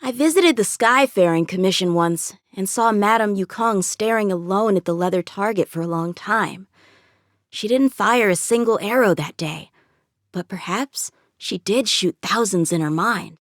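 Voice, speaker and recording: A woman's voice: medium pitch, moderate pace, dry recording, clean studio sound, lightly acted. A woman voicing an eager, confident teenage boy swordsman in a video game, with an earnest, cocky, youthful manner. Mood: earnest, thoughtful. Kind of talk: video game character voice lines.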